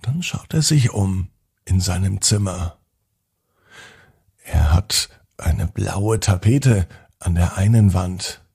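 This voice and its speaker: A man narrates a children's bedtime story in a gentle, deliberate way with pauses. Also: deep voice, vocal fry, breathy edge.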